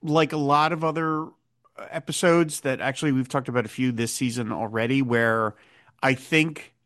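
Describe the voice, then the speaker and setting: A man's voice: medium pitch, fairly even intonation, moderate pace, fairly bright tone. Guy hosting a podcast, recapping then musing, lots of uhs and restarts. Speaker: podcast host. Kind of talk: episode recap and casual chat.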